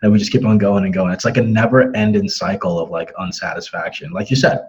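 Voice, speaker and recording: A man's voice: on the low side, steady tone, quick pace, husky, muffled recording. A man in his twenties with an American accent, hosting a casual interview in an easygoing, reflective way. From born in West Africa, raised in the United States. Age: 20s. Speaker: podcast host.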